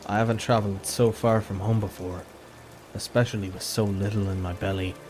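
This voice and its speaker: A man playing a quiet, thoughtful ranger, musing his lines in a fantasy audio drama. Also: lowish voice, little pitch movement, brisk, noisy background, natural voice. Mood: weary.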